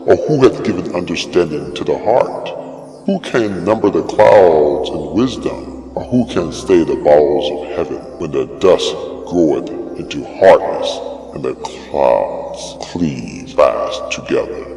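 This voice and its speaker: A man's voice: rumbling low, lively intonation, unhurried, vocal fry, warm tone. A man giving a solemn, theatrical dramatic reading of archaic scripture, posing grand rhetorical questions. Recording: echoey long reverb over music. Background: music.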